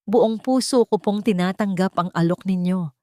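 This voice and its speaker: A woman's voice: medium pitch, moderate pace, warm tone, no echo, lightly acted. A humble heroine of a romantic drama played by a woman narrator speaks with earnest respect. She has a Filipino accent, and the recording is dry and close. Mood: moved, grateful. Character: humble, earnest woman gratefully accepting a great honour.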